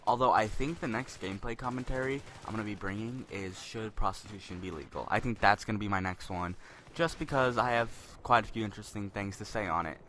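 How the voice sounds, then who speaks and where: A young man with a deep voice giving rambling, spontaneous commentary over gameplay, a little self-conscious. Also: brisk, sharp tone, slight background noise.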